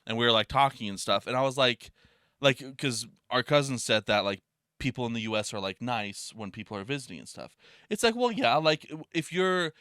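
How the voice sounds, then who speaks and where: A man's voice: mid-range voice, animated, fairly quick, bright, forceful tone, clean tone, no echo, studio quality. A young man co-hosting a podcast, talking casually in a rambling, loose way, swearing often and restarting his sentences. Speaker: podcast co-host. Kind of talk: casual chat.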